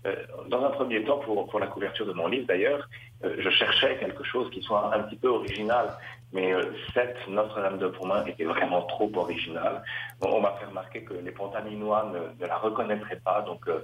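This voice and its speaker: A middle-aged man from western France, a Dominican priest, answering interview questions with many pauses and restarts. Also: low-pitched, fairly even intonation. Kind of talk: radio interview. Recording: roomy, slightly dull radio sound.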